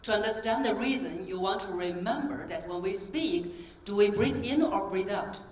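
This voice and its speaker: A woman voice coach giving an energetic keynote talk, coaxing the audience through exercises on stage. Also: medium pitch, normal speed. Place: large conference hall on stage. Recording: roomy hall, distant mic, faint ambience.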